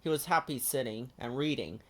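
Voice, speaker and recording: A male storyteller reads a children's picture book aloud. His scripted narration is expressive and sits at an average male pitch. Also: fairly quick.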